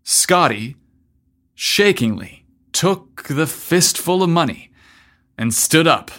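A man narrating scripted fiction in an expressive storytelling manner. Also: a little high, takes it slowly. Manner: expressive storytelling, acts out dialogue.

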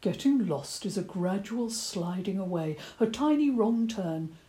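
A woman voicing a gentle, courteous old male badger who stammers a little. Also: medium pitch, animated, measured pace, close to an everyday voice. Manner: stammering, courteous, slightly eccentric.

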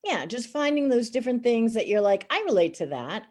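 A middle-aged woman voice actor with a raspy voice, talking in a casual, sarcastic, chatty way in an interview. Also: on the high side, sing-song, fairly quick, a little mellow. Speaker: voice actor and teacher.

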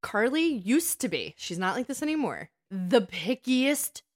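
A woman's voice: fairly high pitch, sing-song, unhurried. A woman co-hosting a casual story-swapping chat with a friend, teasing playfully and often breaking into laughter as she talks. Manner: laughs often while talking. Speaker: podcast co-host.

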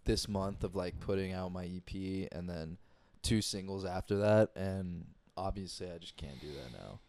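Mellow, easygoing young man. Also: low-pitched, moderate pace, dry recording. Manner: easygoing, mumbly, swears casually. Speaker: podcast co-host. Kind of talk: casual chat.

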